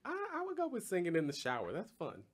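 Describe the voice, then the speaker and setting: Animated American male podcast host. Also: a little high, fast, a crowd in the background. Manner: teasing, campy slang. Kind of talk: playful casual chat, posing game questions.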